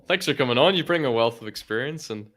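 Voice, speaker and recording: A man's voice: mid-range voice, lively intonation, quick pace, fairly bright tone. A man in his twenties hosting an interview webinar, upbeat and welcoming. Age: 20s. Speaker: webinar host.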